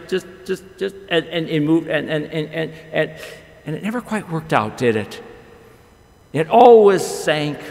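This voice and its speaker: Middle-aged male pastor, slightly high and gravelly, animated storyteller, podium mic in an echoey chapel. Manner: animated storytelling with wide gestures and rhetorical questions. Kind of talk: chapel sermon. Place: large chapel with long reverb. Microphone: podium microphone.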